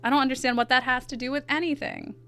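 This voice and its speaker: A woman with a stuffy nose, chatting casually with her viewers in quick asides while she draws on stream. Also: sits high, fairly even intonation, sharp tone, faint music behind. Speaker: art streamer. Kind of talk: casual chat while drawing.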